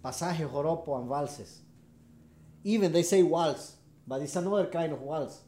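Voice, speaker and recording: Venezuelan harp guy, spanish accent, mellow kinda high voice, lots of "yes, yes", echoey room. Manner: halting, repeats short affirmations. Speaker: harp player. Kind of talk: interview answers.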